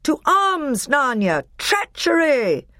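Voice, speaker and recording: A woman voicing a brave, commanding young high king in a storybook reading. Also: animated, unhurried, no room sound, studio quality, lightly acted. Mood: urgent, commanding.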